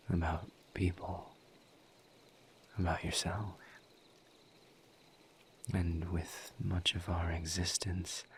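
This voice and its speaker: A man playing a calm, gentle, formal misery demon in an intimate roleplay audio, with a hushed, breathy voice and measured, deliberate phrasing. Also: deep voice, a little hiss or hum, heavily acted. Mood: earnest, soothing. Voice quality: hushed, breathy near-whisper. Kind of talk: soft-spoken roleplay audio, intimate monologue to listener.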